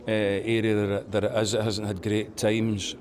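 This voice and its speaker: A man interviewed outdoors at a stadium, earnest and halting in his answers. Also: on the low side, level tone, a little mellow. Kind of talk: vox pop interview.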